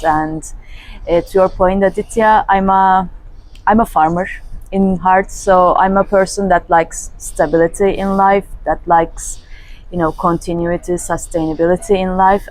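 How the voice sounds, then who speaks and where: A woman from Turkey, a company general manager, answering interview questions in a calm, steady way. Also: fairly low pitch, no echo, poor audio.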